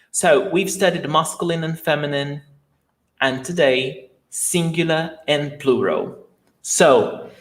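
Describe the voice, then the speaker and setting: Upbeat Brazilian man teaching a live class. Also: on the high side. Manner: enthusiastic, greets viewers by name. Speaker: Portuguese teacher. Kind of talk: live online language lesson.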